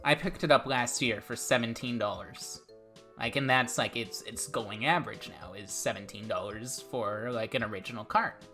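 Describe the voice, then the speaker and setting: A man with a Portuguese accent co-hosting a casual podcast, relaxed and chatty, often repeating words. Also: mid-range voice, moderate pace, soft background music. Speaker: podcast co-host. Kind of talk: casual chat.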